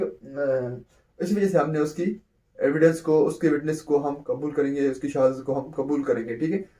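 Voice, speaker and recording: A man's voice: fairly high pitch, warm tone, far from the mic. A man teaching a law lecture, who repeats points and answers his own questions. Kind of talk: explanatory lecture, mixing in English terms.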